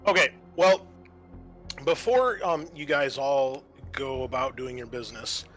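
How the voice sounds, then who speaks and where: A man working as game master, narrating a tabletop game in a halting, pieced-together delivery. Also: medium pitch, a bit bright, soft background music, rough recording.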